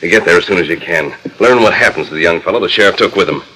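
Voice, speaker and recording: A man's voice: low-pitched, pushed and bright, poor audio. A man playing an upright, authoritative American Western hero, speaking firmly in 1940s radio drama style. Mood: commanding, earnest. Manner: firm, authoritative, deliberate.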